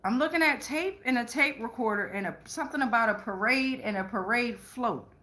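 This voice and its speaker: A woman's voice: on the high side, brisk, pushed and bright. A woman giving a casual, rambling tarot reading, blunt and profane, full of slang and checking in with "right?". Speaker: tarot reader and channeler.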